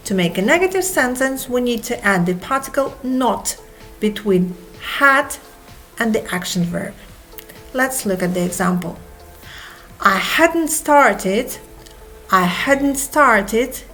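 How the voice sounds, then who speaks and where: Higher-voiced woman drilling grammar examples twice each. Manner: repeats each example twice, slowly and clearly. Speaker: English teacher. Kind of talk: slow grammar lesson with drills. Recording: soft music behind the voice.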